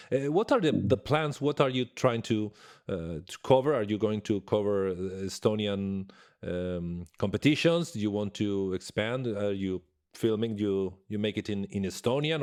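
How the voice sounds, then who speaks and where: Guy asking questions, lowish voice, feels his way through each sentence. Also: darkish tone. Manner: searching for words, friendly questioning. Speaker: interviewer, host. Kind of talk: interview questions.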